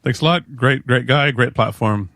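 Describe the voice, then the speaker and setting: A man's voice: mid-range voice, normal speed, fairly bright tone, no room sound, clean studio sound. A man co-hosting a podcast, chiming in with brief, upbeat agreement. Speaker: podcast co-host. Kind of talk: casual podcast conversation.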